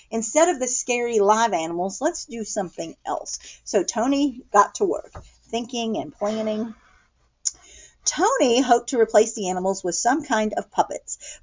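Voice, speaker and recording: A woman's voice: a little high, darkish tone. Dull-sounding recording of an American woman reading aloud. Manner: explanatory, restating in simple words. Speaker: children's picture-book read-aloud reader. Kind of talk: read-aloud with simple explanatory asides to children.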